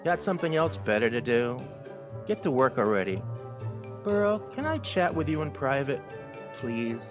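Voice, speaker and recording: A man's voice: medium pitch, sing-song, moderate pace, over music, natural voice. A man playing a skeptical, no-nonsense mentor mouse in an audio drama. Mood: wry, firm.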